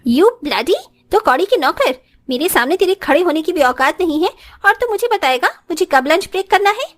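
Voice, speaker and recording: A woman playing an arrogant young rich daughter-in-law with a haughty, sharp-tongued manner in a moral story cartoon. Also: very high-pitched, animated, normal speed, soft background music. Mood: angry, bullying.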